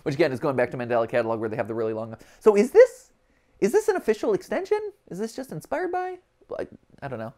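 A man in his thirties hosting a gaming stream with casual, animated, joking reaction commentary full of exclamations. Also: on the high side, fast talker. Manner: animated, jokey asides, exclamatory. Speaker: livestream gaming host. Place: small furnished room, on a couch.

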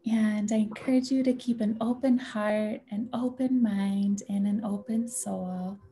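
A woman leading a guided meditation in a gentle, soothing, unhurried way, with a soft, breathy voice that often nears a whisper. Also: mid-range voice, fairly even intonation. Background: music.

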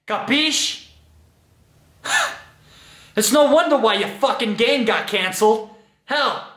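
A man's voice: very high-pitched, bright, forceful tone, full character voice. A man voicing an arrogant, pompous laid-off male surgeon who talks in a condescending, sneering way. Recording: echoey room.

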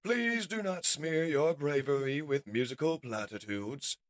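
A man's voice: on the high side, measured pace, pitched above the performer's own voice, close to an everyday voice. A man voicing a cold, imperious villain robot scientist in a pompous, menacing, formal manner, as in a comic-book story. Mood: cold menace.

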